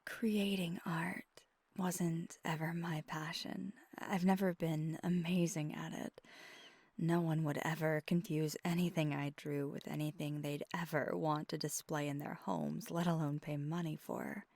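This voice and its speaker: Woman acting slow, whispery, wistful vampire girlfriend. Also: deep voice, little pitch movement, no echo. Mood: wistful, confiding. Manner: soft, breathy half-whisper, halting and confessional. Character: wistful, tender female vampire girlfriend, ageless, confiding softly. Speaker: ASMR roleplay performer. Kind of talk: intimate ASMR roleplay monologue. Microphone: close microphone.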